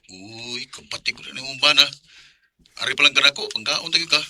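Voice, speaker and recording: A man's voice: mid-range voice, fairly quick, sharp tone. An actor playing a concerned male friend who is eager to comfort and woo, in a radio drama with a Visayan Filipino accent. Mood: coaxing, concerned.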